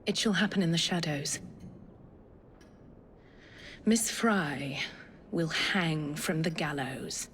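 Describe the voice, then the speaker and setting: Slow, menacing, low-voiced villainess. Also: female voice, a little hiss or hum. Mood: cold, vengeful. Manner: hushed, deliberate, menacing. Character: cold, vengeful Victorian female secret-order lieutenant. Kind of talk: dramatic video game acting.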